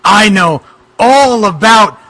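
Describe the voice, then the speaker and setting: A loud, high, theatrical bogeyman. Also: lively intonation, full character voice, poor audio. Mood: ominous, solemn. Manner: loud, drawn-out theatrical declaiming. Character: brooding male bogeyman wrestler, a tireless detective.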